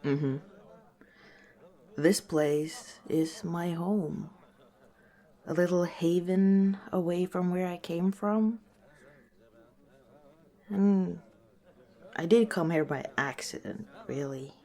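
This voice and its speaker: A woman voicing a gentle, wistful female orc tavern owner in a soft-spoken, hesitant ASMR roleplay. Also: lowish voice, steady tone, slow pace, pauses often.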